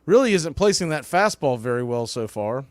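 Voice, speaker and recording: A man's voice: medium pitch, sing-song, moderate pace, no echo. A man calling a baseball game play by play in clipped phrases that build on big plays. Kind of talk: live play-by-play commentary.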